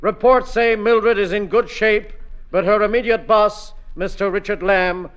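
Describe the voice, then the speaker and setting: An actor playing a grandiose, declamatory American news correspondent reporting dramatically in a radio comedy. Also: male voice, high voice, fairly even intonation, measured pace. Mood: grandiose excitement. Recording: dull, band-limited radio sound, music.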